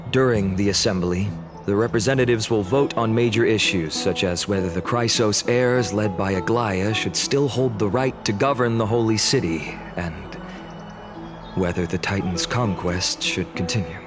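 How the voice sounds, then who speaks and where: A low male voice over prominent background music, an actor playing a courteous young scholar-warrior in a video game. He speaks formally and earnestly, setting things out in measured, careful phrases. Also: close to an everyday voice. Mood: calm, explanatory. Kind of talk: scripted video game dialogue.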